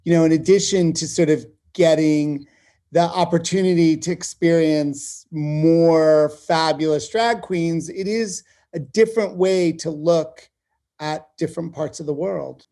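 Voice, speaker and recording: Male interviewee, TV producer. Soft-edged mellow tone, emphatic and conversational. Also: a little high, steady tone, a little slow. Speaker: television producer being interviewed.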